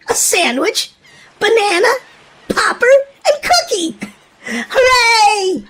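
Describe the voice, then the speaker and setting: A woman's voice: very high voice, wildly swooping pitch, unhurried. A woman narrating a children's storybook in a dramatic, emphatic scripted read-aloud style for young listeners.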